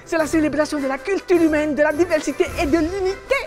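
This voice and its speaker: An eager young dreamer , played by a woman, speaks earnestly and pleads with a Malagasy French accent. Her voice sits high. Soft music runs underneath. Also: fast talker, close to an everyday voice. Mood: declaiming. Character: young man, eager Astérix fan.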